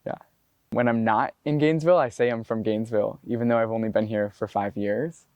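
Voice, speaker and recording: A man's voice: fairly low pitch, steady tone, fast talker, darkish tone, dry recording, dull audio. A man in his twenties talking casually and reflectively in a documentary interview, his speech loose and full of "like" fillers. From United States. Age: 20s. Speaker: botanist and climber being profiled.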